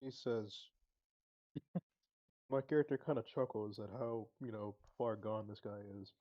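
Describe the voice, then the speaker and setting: A man's voice: fairly low pitch, unhurried. A man chatting casually as a player in a tabletop roleplaying game. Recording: dull lo-fi sound.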